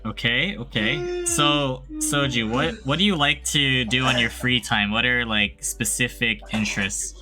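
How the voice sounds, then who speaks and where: A man hosting a casual livestream podcast, speaking in a relaxed way with friendly slang. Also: mid-range voice, unhurried, hardly any pauses, music in the background. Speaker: podcast host.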